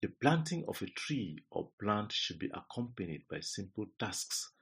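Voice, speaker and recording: Man, Cameroonian accent, low-pitched, husky, instructor reading a scripted lesson, deliberate phrasing. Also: very animated, fairly bright tone.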